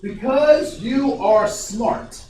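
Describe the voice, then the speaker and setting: A man's voice: very high voice, drawn-out words. A man in his forties from Ohio, leading a diversity workshop with energy and quizzing his audience. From Ohio, USA. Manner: energetic, questions the audience, frequent restarts. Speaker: diversity trainer. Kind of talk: interactive workshop talk. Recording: very echoey, distant, faint noise.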